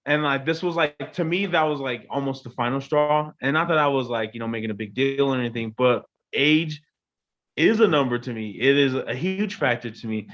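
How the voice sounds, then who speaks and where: A man in his twenties, a podcast guest, telling a personal story in a casual, animated way, often checking in with the listener. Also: medium pitch, brisk, muffled recording. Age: 20s. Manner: animated storytelling, frequent "you know what I mean". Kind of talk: casual chat.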